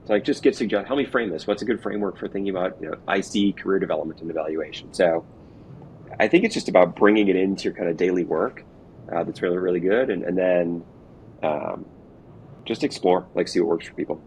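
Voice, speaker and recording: Male executive interviewee, low register, conversational and informal, faint rumbling noise behind. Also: fast, a little mellow. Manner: informal, hedging with "kind of" and "like". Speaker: revenue operations executive being interviewed. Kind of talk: casual conversational explaining. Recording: noisy with low background rumble.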